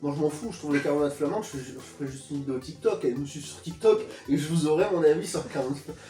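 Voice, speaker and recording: A man's voice: a little high, rapid-fire, warm tone, echoey room, distant mic, soft background music. A man with a stuffy nose from a cold, co-hosting a casual food tasting chat, joking and grumbling. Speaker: co-host of a food tasting channel.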